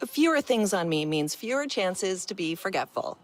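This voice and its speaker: An actress playing a breezy, practical field scientist in a video game, speaking matter-of-factly. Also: female voice, medium pitch, big pitch swings, normal speed, close to an everyday voice. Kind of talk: video game dialogue acting.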